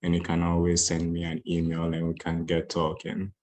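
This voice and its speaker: Man, deep, even-toned, relaxed conference speaker with lots of fillers. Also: normal speed. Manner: relaxed, many fillers like "you know". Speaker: conference speaker on moving to Ghana. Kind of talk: casual talk. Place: online video call.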